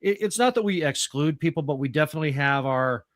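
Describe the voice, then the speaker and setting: A man's voice: on the high side, lively intonation, fast, no echo, clean studio sound. A man chatting casually with a group as one of a troupe of radio players, effusive and given to swearing. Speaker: member of a radio players troupe on a podcast network.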